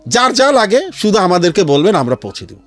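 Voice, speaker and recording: Animated, higher-voiced Bangladeshi man explaining earnestly. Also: fairly quick, soft background music. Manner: earnest, explanatory, mixes in English phrases. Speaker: interview guest, founder of a charity resale platform. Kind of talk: conversational storytelling in a radio interview. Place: radio studio.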